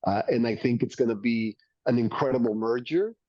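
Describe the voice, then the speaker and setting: A man's voice: mid-range voice, brisk, no room sound. Mexican-accented businessman, dull dry audio. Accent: Mexican Spanish. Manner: enthusiastic, repeats words while building points. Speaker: beverage company executive, interview guest. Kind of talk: business interview, pitching plans.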